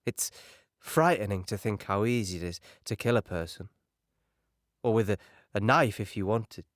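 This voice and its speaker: An actor playing an earnest, naive young British soldier, plain-spoken and a little hesitant, in an audio drama monologue. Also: male voice, lowish voice, moderate pace, dry recording, studio quality, natural voice. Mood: troubled. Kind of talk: dramatic monologue recounting wartime memories.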